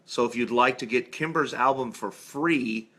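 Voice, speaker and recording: A man's voice: mid-range voice, normal speed, sharp tone. A man, a musician guest on a radio show, chatting casually and giving his opinions informally.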